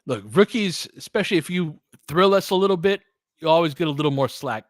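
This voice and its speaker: A man doing casual live basketball commentary and analysis, talking informally and thinking out loud as he goes. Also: fairly high pitch, animated, brisk, no room sound, clean studio sound. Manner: conversational, informal, thinking aloud. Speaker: basketball play-by-play streamer and analyst.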